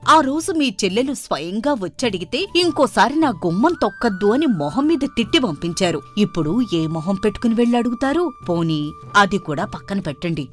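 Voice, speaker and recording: A woman voicing an outspoken, scolding middle-aged wife in a moral story cartoon. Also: a little high.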